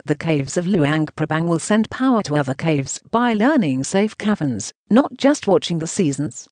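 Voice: medium pitch, big pitch swings, normal speed, darkish tone, no echo, clean studio sound. A synthetic text-to-speech voice reading translated news narration in a choppy way, speaking punctuation marks aloud.

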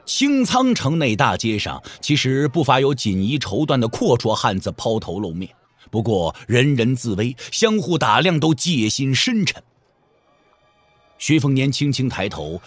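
Husky, highly expressive male storyteller. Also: normal speed, no room sound, faint music behind. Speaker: narrator. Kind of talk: dramatic scripted storytelling for martial-arts audio drama.

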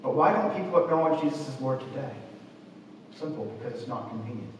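A man's voice: mid-range voice, expressive, quick pace, darkish tone. A man in his twenties preaching a sermon from a church pulpit, reading and explaining scripture. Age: 20s. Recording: echoey church, distant mic, faint noise.

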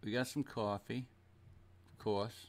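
A man's voice: on the low side, steady tone, unhurried. A man in his thirties, chatting casually to himself and his viewers while playing a board game at home. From Boston. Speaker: streamer. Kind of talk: casual game-stream chat. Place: small room at home.

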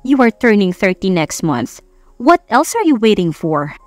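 A woman's voice: medium pitch, very animated, measured pace, no echo, soft background music. Woman acting a gushing, scheming rich mother-in-law. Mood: breezy, insistent.

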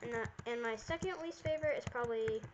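A woman's voice: a little high, quick pace, muffled recording. A young girl chatting in roleplay while hosting a gaming video. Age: child. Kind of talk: roleplay chat while playing a game. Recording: loud noise and clicks behind voice.

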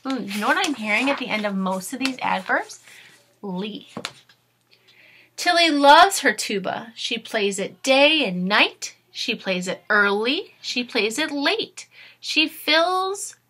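A woman in her thirties, expressive and instructive, speaking to children as a teacher does in a read-aloud lesson. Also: fairly high pitch, slow pace, husky. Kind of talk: storybook read-aloud with grammar explanations. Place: small furnished room at home.

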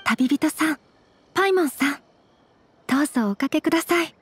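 A woman playing a young noble lady in an anime game, elegant and gentle, with formal, courteous speech. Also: sits high, animated, normal speed, slight background noise. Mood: courteous, composed.

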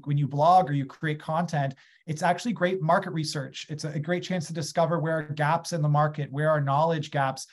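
A man in his thirties with an American accent, speaking as an invited guest on a webinar, enthusiastic and gesturing as he talks over a video call from a small room. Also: on the high side. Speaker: guest speaker, agriculture company founder. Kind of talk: conversational webinar talk. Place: small room at home, indoors. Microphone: webcam on a video call.

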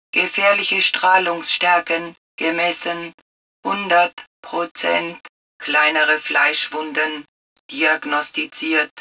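Slow, impersonal suit computer voice. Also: female voice, sits low, vocal fry, recorded from a distance, highs cut off, heavily acted. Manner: clipped, impersonal, measured, matter-of-fact delivery. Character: calm female computer voice of a powered combat suit. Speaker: game suit announcer. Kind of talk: scripted system announcements in a video game.

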